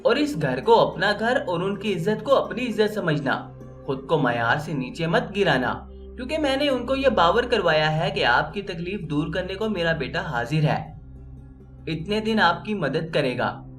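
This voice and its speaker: A man's voice: high voice, very animated, measured pace. A man reading a scripted, emotional moral story as an audio narrator, with music playing underneath. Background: background music.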